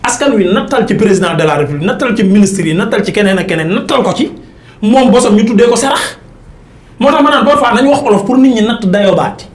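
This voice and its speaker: Senegalese guy arguing politics, really high voice for a man, insistent, kinda roomy echo. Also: animated, fast. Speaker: political commentator on a talk show. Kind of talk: argumentative political debate, mixing Wolof and French.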